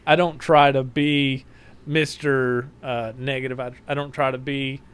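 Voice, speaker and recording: A man's voice: mid-range voice, little pitch movement, measured pace, fairly bright tone, smooth. A man with a Southern US accent, a car salesman guest telling stories in a casual podcast chat, restarting his sentences often. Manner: friendly storytelling with many restarts. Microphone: home podcast mic, moves off-mic.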